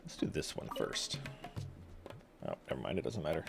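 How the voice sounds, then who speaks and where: Man, very low voice, lively pitch swings, casual game streamer, short offhand quips, music behind. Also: moderate pace, creaky. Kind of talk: casual gameplay commentary. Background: game music and dialogue.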